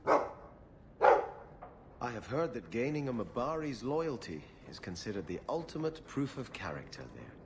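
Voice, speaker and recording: An actor playing a devout, conflicted young exiled prince in a video game, with a formal, confessional manner. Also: male voice, mid-range voice, big pitch swings, measured pace, long pauses, noisy background. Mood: respectful. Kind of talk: video game cutscene acting.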